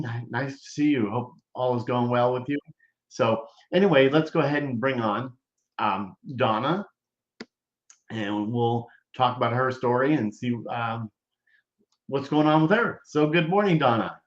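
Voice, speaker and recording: A man hosts a relaxed live-stream chat over a video call, pausing often and filling gaps with filler sounds. His voice carries a roomy echo and comes through dull and lo-fi, like a muffled webcam mic. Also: medium pitch. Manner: halting, many filler sounds. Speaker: host. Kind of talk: casual live-stream interview.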